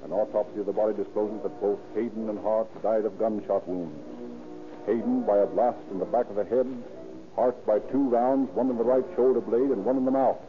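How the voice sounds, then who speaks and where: A man narrating a scripted old-time radio crime drama in a formal, dramatic, measured manner. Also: fairly low pitch, steady tone, faint music behind. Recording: tinny old radio recording.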